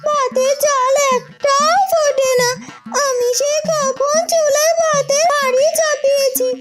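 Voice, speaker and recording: Very high, childlike naive village girl. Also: fairly even intonation, measured pace, faint music behind. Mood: innocent, defensive. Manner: childlike, literal, pleading delivery. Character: naive, simple-minded village daughter of marriageable age. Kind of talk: Bengali cartoon fairy-tale voice acting.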